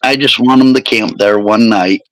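A man in his forties with a slightly raspy voice, hosting a casual podcast chat with crude jokes. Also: lowish voice, moderate pace, fairly bright tone, no room sound, rough recording. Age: 40s. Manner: joking, crude, rambling. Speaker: podcast host.

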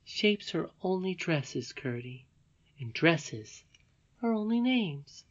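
A woman's voice: on the low side, sing-song, voice pushed up, exaggerated voice. Woman reading as a wise ageless lady. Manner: measured, patient. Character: ageless wise grandmother lady, calm and gently stern. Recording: dull lo-fi recording.

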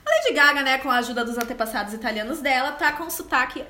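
A woman with a high, bright voice talks at a quick clip, co-hosting a casual film chat. She sounds playful and gossipy, tossing in slang. A faint hiss of noise sits under her voice. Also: roomy sound. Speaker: co-host of a film review chat.

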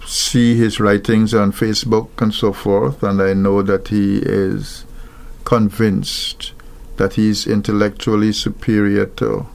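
An older man from Guyana speaks in a slow, reflective way about marriage and equality. His voice has a gravelly, creaky edge, and he often stops, restarts, and fills gaps with soft hesitations. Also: fairly low pitch, little pitch movement. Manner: thoughtful, halting, many fillers and restarts.

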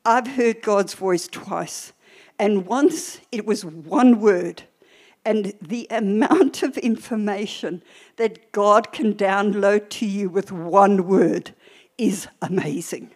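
An older woman preacher teaching a church sermon in a deliberate, emphatic way, with short pauses and repeated phrases. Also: medium pitch.

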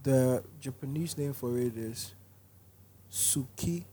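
A man co-hosting a podcast in a relaxed, casual chat, speaking softly and laughing easily. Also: mid-range voice, slow pace, a little hiss or hum. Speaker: podcast co-host. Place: restaurant.

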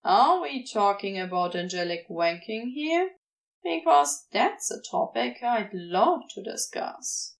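A woman's voice: fairly high pitch, expressive, slow, creaky, exaggerated voice. A woman voicing a wily, affectionate male demon in a fan fiction audio story, teasing and sardonic in a casual way. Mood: eagerly teasing.

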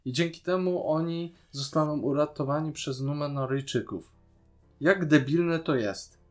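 A man's voice: on the high side, unhurried, soft background music. A man, co-hosting a podcast and discussing a series casually, in an opinionated, questioning manner. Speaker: podcast co-host.

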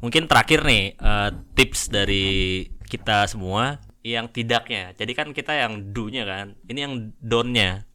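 A man's voice: mid-range voice, a little slow, bright, forceful tone. A young man with a Jakarta Indonesian accent, chatting casually and jokingly with friends in slang as a podcast host. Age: young adult.

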